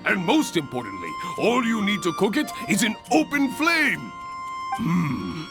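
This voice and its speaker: An actor playing a hearty, grandiose adventurers' guild examiner in a video game, speaking in formal, exuberant flourishes. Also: male voice, sits high, sing-song, a little slow, music in the background, heavily acted. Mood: pleased, hearty. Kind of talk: English video game voice acting.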